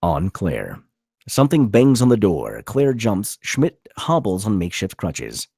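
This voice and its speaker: A man's voice: on the low side, huge pitch swings, unhurried, creaky, a little mellow, breathy edge, no room sound, studio quality. A man narrating stage directions at a live screenplay table read.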